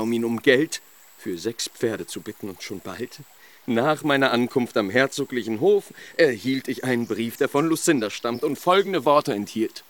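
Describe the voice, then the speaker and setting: A man's voice: mid-range voice, close to an everyday voice. Man acting a lovelorn, despairing young nobleman. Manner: ornate, impassioned storytelling. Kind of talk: German radio play acting.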